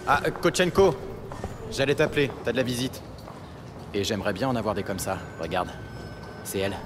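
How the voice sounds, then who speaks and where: Un acteur jouant un collègue flic familier, voix moyenne. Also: male voice, medium pitch, expressive, brisk, background noise, lightly acted. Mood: teasing. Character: chummy, teasing male police colleague. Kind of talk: French audio drama acting.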